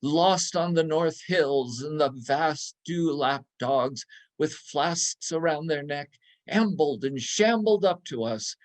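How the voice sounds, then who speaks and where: A man giving a dramatic literary reading of a nostalgic story, savouring each descriptive phrase in a deliberate manner. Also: on the high side, animated. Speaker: reader in a readers theatre.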